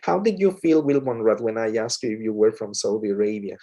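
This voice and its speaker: A man's voice: fairly low pitch, lively intonation, quick pace, dark tone. A man from Mexico, a lecturer, talking with a colleague in a hesitant way full of fillers and restarts. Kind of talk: conversation with a colleague.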